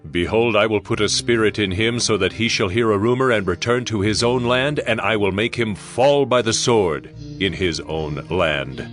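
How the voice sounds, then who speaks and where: A man performs a stern prophet in an audio scripture drama. His low voice proclaims judgment with solemn authority. Soft music runs behind it. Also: moderate pace, few pauses, natural voice. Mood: stern proclamation. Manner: solemn, declamatory.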